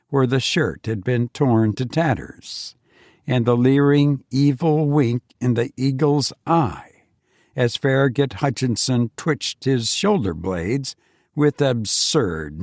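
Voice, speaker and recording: A man narrating a story dramatically as an audiobook reader, deliberate and solemn, with weighty pauses. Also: medium pitch, a little mellow, no room sound, studio quality.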